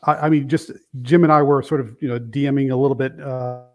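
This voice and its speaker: A man's voice: medium pitch, fairly even intonation, a little fast, faint music behind. Enthusiastic middle-aged man from Brooklyn. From Brooklyn, New York. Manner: rambling, self-correcting, enthusiastic. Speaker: guest reviewer. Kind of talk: casual chat.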